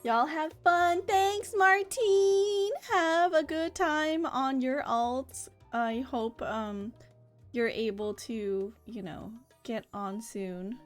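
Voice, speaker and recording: A woman's voice: high voice, steady tone, extremely slow. A chatty woman streaming a game live, greeting her viewers effusively. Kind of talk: livestream chat and reading game dialogue aloud. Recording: music behind the voice.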